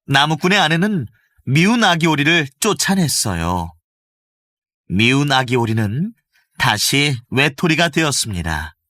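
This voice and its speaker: Bright, lively male storyteller. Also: mid-range voice, measured pace, dry recording. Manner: gentle, expressive storytelling. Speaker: voice actor narrator. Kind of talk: children's storybook narration.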